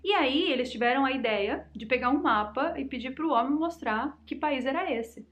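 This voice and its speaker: A woman's voice: high voice, moderate pace, fairly bright tone. A young Brazilian woman hosting a channel, chattily and dramatically telling mystery stories straight to her viewers. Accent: Brazilian Portuguese. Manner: chatty, dramatic, addresses the audience directly. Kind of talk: casual storytelling to viewers.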